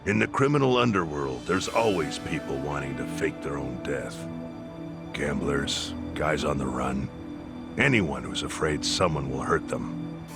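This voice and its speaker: A man's voice: very deep voice, expressive, a little slow, over loud music, exaggerated voice. A man playing a plain-spoken underworld insider in a video game cutscene, matter-of-fact and colloquial. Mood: explaining. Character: plain-spoken male underworld insider explaining secret rules.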